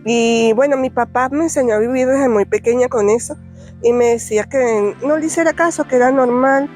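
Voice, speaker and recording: A woman speaks in a plain, matter-of-fact documentary testimony, a little higher than average. Clear music plays under her words. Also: fairly quick, a little mellow. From Venezuela. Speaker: migrant interviewee.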